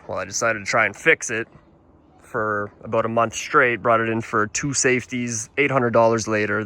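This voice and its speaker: A man's voice: lowish voice, sharp tone. A young man from Canada with a Canadian accent, rambling and swearing casually as he walks around his motorcycle for a vlog, talking into a handheld phone. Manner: casual and profane, rambling with restarts. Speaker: motorcycle vlogger.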